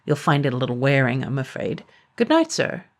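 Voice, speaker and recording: A woman's voice: rumbling low, very animated. Woman doing a polite clever blacksmith. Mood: brisk. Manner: polite, brisk. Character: humble clever village blacksmith, a man.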